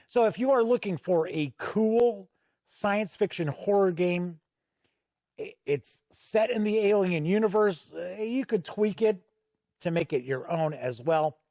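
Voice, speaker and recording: A man's voice: a little high, darkish tone, no echo, studio quality. A man hosting a casual, unscripted video review, speaking conversationally and leaning on key words for emphasis. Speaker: tabletop game reviewer and channel host.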